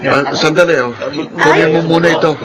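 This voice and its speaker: A man's voice: on the high side, fairly even intonation, brisk, very noisy, natural voice. A man with a Filipino accent playing an affectionate, generous young big brother in a radio drama. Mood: fond.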